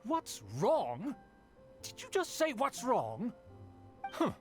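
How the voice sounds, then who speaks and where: An actor playing a haughty, scornful young aristocrat who lectures about manners. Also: male voice, very high-pitched, wildly swooping pitch, takes it slowly, heavily acted. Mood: pompous. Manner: pompous, disdainful, lecturing. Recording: game audio with music.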